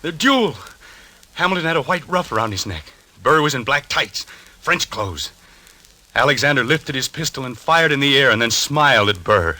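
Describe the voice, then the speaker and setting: An actor playing an agitated, haunted husband, indignant and obsessive, in a 1940s radio suspense style. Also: male voice, medium pitch, animated, normal speed, pushed and bright, no room sound. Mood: hysterical outrage. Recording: vintage radio drama recording.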